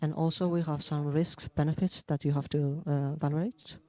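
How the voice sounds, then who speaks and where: Halting man giving a medical lecture. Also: a little high, level tone, a little mellow. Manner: halting, many fillers and restarts. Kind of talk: conference lecture.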